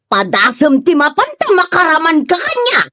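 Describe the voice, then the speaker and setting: A woman's voice: extremely high pitch, wildly swooping pitch, normal speed, no echo, clean studio sound, full character voice. A woman playing a furious, domineering mother who barks threats in an Ilocano comedy drama. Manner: threatening, shouting commands. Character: furious domineering mother opposing her child's wedding.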